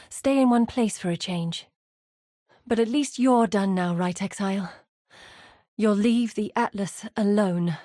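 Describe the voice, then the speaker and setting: Video game role: earnest woman expedition leader, weary and grave, articulate delivery, mid-range pitch, close dry recording. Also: darkish tone, husky, dry recording. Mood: grieving, weary. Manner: measured, grave, articulate storytelling. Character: weary, earnest woman explorer leading exiled warriors. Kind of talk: scripted video game voice acting.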